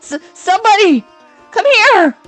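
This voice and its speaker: A woman's voice: very high voice, very animated, a little slow, fairly bright tone, faint music behind, voice pushed up, full character voice. A woman voicing a suspicious, frightened young lady in a horror drama, tense and accusing. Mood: terrified.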